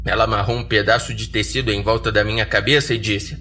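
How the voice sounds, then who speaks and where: A man's voice: on the low side, steady tone, fast, bright, forceful tone, slight background noise. A man with a Brazilian accent reading scripted first-person horror fiction as a podcast narrator. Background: music bed.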